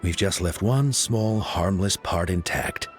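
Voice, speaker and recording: An actor playing a reasonable coach and community leader in a video game, explaining things in a measured way. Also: male voice, sits low, soft background music. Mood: regretful, explaining.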